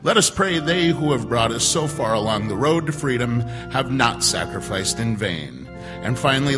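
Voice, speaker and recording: Solemn man announcing with very animated pitch. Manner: solemn, declamatory. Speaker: radio announcer. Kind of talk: 1940s radio announcing, scripted. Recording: reverberant stage hall, music behind.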